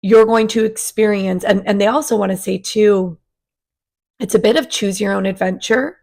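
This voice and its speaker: A woman, raspy after a sinus virus, giving a casual spiritual energy forecast to her channel in a gentle, chatty way. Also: medium pitch, fairly even intonation, moderate pace. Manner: gentle, chatty, with many fillers and restarts. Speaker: spiritual coach and channel host.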